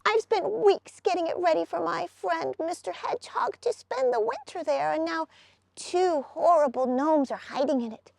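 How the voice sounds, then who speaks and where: Tiny storybook lizard: very high, wailing, fretful and pleading, with a dry sound. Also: female voice, normal speed, vocal fry, darkish tone, no room sound, full character voice. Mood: miserable, pleading. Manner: plaintive, wailing complaint. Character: sad, fretful little lizard begging for help.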